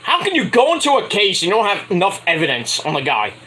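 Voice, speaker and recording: A man's voice: high-pitched, huge pitch swings, fast, bright, forceful tone, breathy edge, poor audio. A man casually reviewing a wrestling show, rambling and correcting himself, with sarcastic asides while he fights off a cold. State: getting a cold, voice going. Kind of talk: casual solo review recap.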